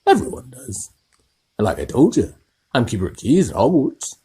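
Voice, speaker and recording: A man voicing a huge, hot-tempered but kindly gamekeeper, booming and growling in an audiobook. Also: huge pitch swings, moderate pace, dark tone, slightly rough, exaggerated voice. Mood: indignant. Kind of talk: audiobook character voice.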